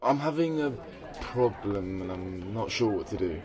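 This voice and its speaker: A man's voice: on the low side, lively intonation, normal speed, music in the background, crowd noise, close to an everyday voice. An actor playing an embarrassed, earnest teenage boy confiding in a friend in a naturalistic radio play, with an awkward manner. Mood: awkward, exasperated.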